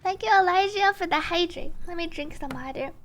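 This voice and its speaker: A woman's voice: very high voice, fairly quick, fairly bright tone. A woman game streamer giving casual live reaction commentary. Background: music.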